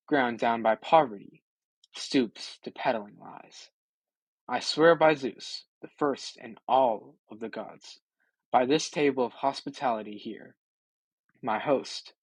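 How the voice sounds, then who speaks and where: A man's voice: medium pitch, breathy edge, no room sound, low-quality recording. A man voicing a wily, proud old wanderer in an epic audiobook, emphatic and declamatory. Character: wily old male wanderer, proud, oath-swearing storyteller.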